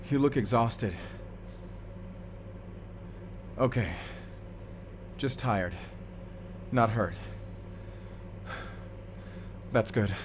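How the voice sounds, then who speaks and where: A man's voice: medium pitch, a little hiss or hum, lightly acted. An actor playing an earnest, protective young man in a cinematic action audio drama, emotional and urgent. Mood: tired, uneasy. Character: earnest, protective young man fighting beside his injured lover. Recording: dramatic music behind the voice.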